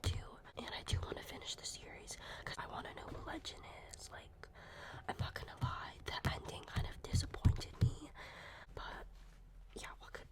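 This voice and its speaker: A young woman with a cold, whispering a casual, rambling ASMR book review full of fillers. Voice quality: soft unvoiced whisper. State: sick. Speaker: ASMR creator.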